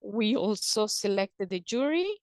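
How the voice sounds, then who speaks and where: A woman presenting and explaining in a measured, halting way, pausing between short phrases. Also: mid-range voice, animated, dry recording, clean studio sound. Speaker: presenter on a conference call.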